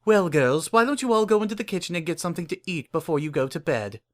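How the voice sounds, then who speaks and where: Man voicing a genteel, higher-pitched grown mare. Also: expressive, fast talker, close to an everyday voice. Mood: motherly. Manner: genteel, affectionate.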